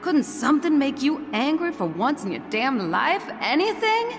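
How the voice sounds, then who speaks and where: Brazen country wife, folksy, hot-tempered, animated pitch, reverberant hall, music behind, audio drama. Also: female voice, moderate pace, full character voice. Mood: exasperated. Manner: blunt, folksy, theatrical.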